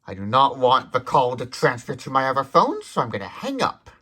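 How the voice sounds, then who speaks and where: Detailed tech demonstrator with a mid-pitched voice. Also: wildly swooping pitch, fairly quick, bright, forceful tone, breathy edge. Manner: detailed, explanatory. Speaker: product demonstrator.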